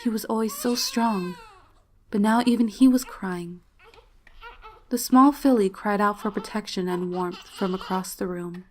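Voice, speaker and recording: A woman's voice: medium pitch, a little slow, a little hiss or hum. A woman reading fan fiction as a dramatic first-person narrator, emotive and solemn in her storytelling. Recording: faint music behind the voice.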